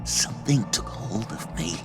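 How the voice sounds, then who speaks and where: An actor playing an unstable, nervous young man in a video game, stammering and halting, paranoid and quick to swing into outbursts. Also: over music, natural voice. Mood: shaken, agitated. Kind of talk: dramatic video game acting.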